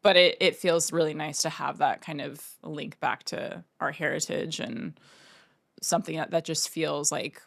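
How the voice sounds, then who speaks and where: A woman's voice: low-pitched, steady tone, normal speed, vocal fry, fairly bright tone, no room sound, clean studio sound. A woman in her thirties from Oregon, telling family stories in a casual interview, rambling with lots of fillers. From Oregon, United States. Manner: rambling, filler-heavy storytelling. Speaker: painter, interview guest.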